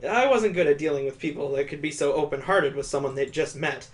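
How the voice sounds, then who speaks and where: A man with a somewhat high voice reads a visual novel aloud as a streamer. His delivery moves between story narration and casual, conversational commentary. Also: brisk.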